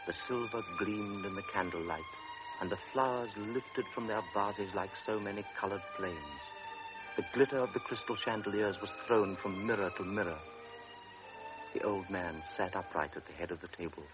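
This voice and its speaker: A man narrates in a very deep, grave, measured voice. The sound is dull and muffled like an old radio broadcast, with music playing underneath. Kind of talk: scripted radio drama narration.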